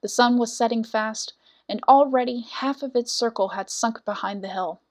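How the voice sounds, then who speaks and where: A woman reading a scripted fairy tale as a storyteller, with dramatic, deliberate phrasing. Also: fairly high pitch. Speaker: storyteller, audiobook narrator.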